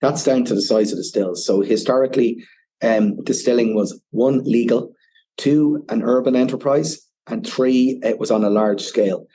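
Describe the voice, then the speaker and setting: A man's voice: medium pitch, moderate pace, dark tone, husky, low-quality recording. A man with an Irish accent, a whiskey ambassador guest on a podcast, explaining at length and often saying "you know". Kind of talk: casual podcast interview.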